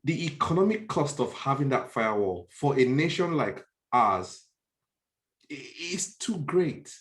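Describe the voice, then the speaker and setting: A man's voice: medium pitch, very animated, unhurried. Nigerian guy on a podcast panel, emphatic, repeats himself working out a point, roomy echoey sound. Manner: emphatic, repeats phrases as he reasons. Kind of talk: conversational political discussion. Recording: roomy, echoey online call.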